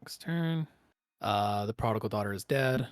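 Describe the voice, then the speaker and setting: A man's voice: on the low side, lively intonation, normal speed, no room sound, clean studio sound. A man acting as game master, talking casually through rulings and narrating a tabletop game.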